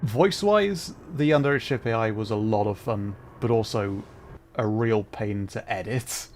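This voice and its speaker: A man's voice: on the low side, unhurried. Chatty male streamer, faint background noise. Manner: chatty, rambling. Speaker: livestreamer, VTuber. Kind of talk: casual gameplay commentary and chat.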